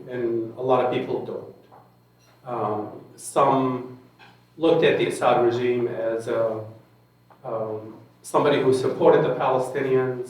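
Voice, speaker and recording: A man from Syria speaking as a forum panelist, measured, with many ums and restarts. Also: mid-range voice, a little mellow. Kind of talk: forum talk. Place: large echoey meeting hall. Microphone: handheld microphone. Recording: echoey hall, mic far off.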